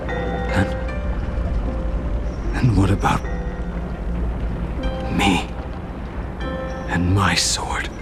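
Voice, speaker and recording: Deep, very slow, brooding undying swordsman. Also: flat delivery. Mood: mournful, brooding. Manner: slow, grave, halting. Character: brooding, world-weary undying swordsman and master craftsman. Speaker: video game voice actor. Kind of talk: dramatic scripted game dialogue. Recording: loud music under the voice.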